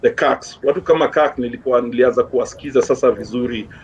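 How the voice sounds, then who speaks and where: The recording is lo-fi and clearly noisy. A Kenyan-accented man talks at a medium pitch in a casual, code-switching way. He speaks informally as a guest, often repeating a word to stress it. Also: fast, a little mellow. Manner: informal, repeats words for emphasis. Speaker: interview guest, gospel musician. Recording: dull lo-fi sound with clear background noise.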